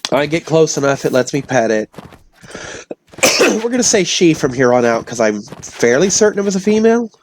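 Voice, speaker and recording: A man with a hoarse voice from illness, telling rambling stories casually to his audience. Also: a little high, very animated, brisk, noisy background. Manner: meandering, self-interrupting, chatty. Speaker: vlogger.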